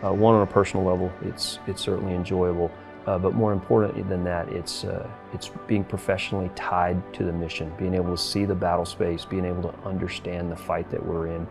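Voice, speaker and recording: A middle-aged man, a military commander, speaking earnestly and haltingly in a documentary interview. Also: sits low, level tone, vocal fry, music in the background. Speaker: air force wing commander and fighter pilot.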